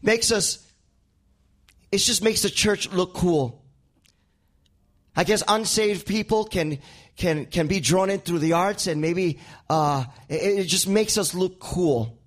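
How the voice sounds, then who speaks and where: Male pastor, Spanish accent, high voice, preaching, repeats words as he builds toward a point. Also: takes it slowly, long pauses. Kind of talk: sermon.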